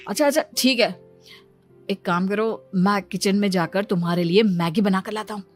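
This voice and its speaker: A woman's voice: mid-range voice, normal speed, soft background music, close to an everyday voice. A woman playing caring relatives of a pregnant wife in a cartoon story, soothing and reassuring. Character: caring husband and mother-in-law, soothing and reassuring. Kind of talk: Hindi animated moral story acting.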